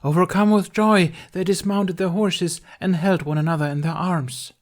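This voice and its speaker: Exasperated young actress played by a male reader, pitched well up, strained theatrical royal proclamations for a fantasy story. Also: fairly even intonation, normal speed, lightly acted. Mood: grand, strained. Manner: strained, declamatory stage delivery. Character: exasperated young woman playing a theatrical King Arthur. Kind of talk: character voice in fan fiction reading.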